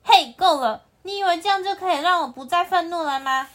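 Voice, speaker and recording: A woman's voice: on the high side, steady tone, measured pace, gravelly, bright, forceful tone, a little hiss or hum, exaggerated voice. A woman voicing a grieving young antelope in a children's story, speaking in a tearful, strained way. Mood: indignant.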